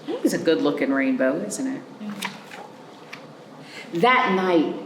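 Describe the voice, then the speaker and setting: Woman, children's storytime host, very lively pitch, unhurried storytelling, roomy echo. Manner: animated, measured storyteller delivery with dramatic pauses and stretched words. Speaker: librarian hosting a children's storytime. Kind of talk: expressive picture-book read-aloud for young children. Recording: roomy echo, faint background noise.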